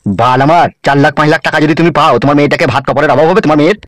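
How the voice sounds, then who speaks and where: A man's voice: on the high side, rapid-fire, a bit bright, dry recording, clean studio sound, close to an everyday voice. A man playing a coaxing, scheming village politician villain in a comedy cartoon. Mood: persuasive. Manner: coaxing, insistent.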